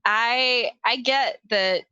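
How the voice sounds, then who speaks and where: A woman talks casually as a player in a tabletop game. She has a bright voice, captured dry on a slightly dull, lo-fi track. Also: on the high side, extremely slow, smooth, dry recording.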